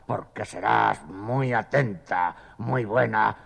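Gravelly, gallant storybook dog. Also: male voice, fairly low pitch, expressive, slow pace, fairly bright tone, heavily acted. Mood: amorous, flattering. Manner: declamatory courting in rhymed verse. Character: gallant male German shepherd dog, courting suitor. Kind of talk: children's audio story acting.

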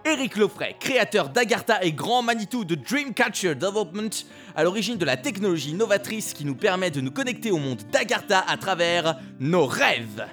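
An actor playing an excitable, gushing male presenter of a video game radio show in an audio drama. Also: high-pitched, moderate pace, soft background music, full character voice. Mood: excited, welcoming.

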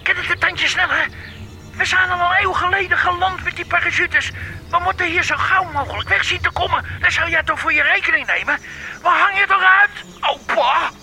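High-pitched, gruff gang boss. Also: male voice, brisk, bright, forceful tone, soft background music, full character voice. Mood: impatient. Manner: slangy, coarse, bossy. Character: gruff, irritable male gang boss on the run. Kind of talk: Dutch radio play acting.

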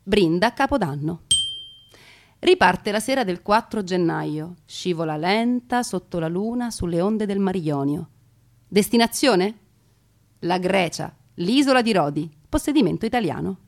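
A woman storyteller giving theatrical scripted narration, expressive and full of conversational asides. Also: on the low side, measured pace, slight background noise. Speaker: storyteller narrating grandparents' history.

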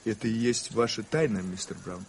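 An actor playing an earnest, naive and politely formal American visitor in a radio play, speaking with emphasis. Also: male voice, fairly low pitch, a little fast, a little hiss or hum, lightly acted. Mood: doubtful, insistent. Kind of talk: radio play acting, 1980s Soviet radio.

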